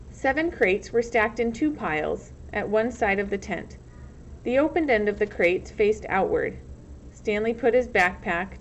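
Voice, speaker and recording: A woman reading a storybook aloud as narrator, in the manner of a teacher. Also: mid-range voice. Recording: muffled lo-fi recording, loud background noise.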